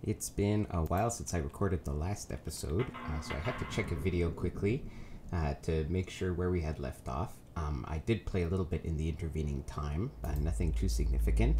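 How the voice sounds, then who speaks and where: A man's voice: low-pitched, dark tone, slight background noise. A man with a cold and a nasal voice, giving rambling, casual commentary over his gameplay as a let's play host, with lots of ums and self-corrections.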